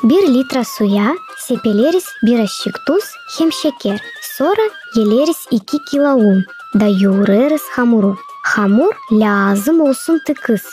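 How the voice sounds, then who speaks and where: A woman voicing a bright young village child in a cartoon. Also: fairly high pitch. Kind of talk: cartoon dialogue and narration. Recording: light music behind.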